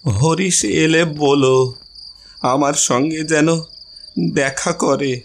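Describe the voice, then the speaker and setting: Man playing a very slow, anxious old grandfather. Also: fairly high pitch, fairly even intonation, slight background noise, voice pushed up. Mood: anxious, expectant. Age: elderly. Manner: slow, drawn-out, plaintive calling. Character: forgetful, anxious old grandfather waiting for his son. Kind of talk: audio story character acting.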